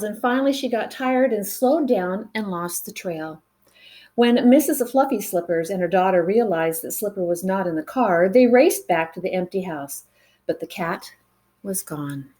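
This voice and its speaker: A woman reading a picture book aloud to children in an expressive way, with dramatic pauses and chatty asides to the listeners. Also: medium pitch. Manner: animated, dramatic pauses, engages young listeners with questions.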